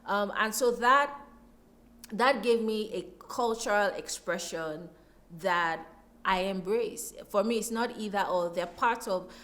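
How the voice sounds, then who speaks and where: A woman's voice: medium pitch, slow, fairly bright tone. A woman with a Nigerian accent talking reflectively as an interview guest, telling things expressively with emphatic repetition.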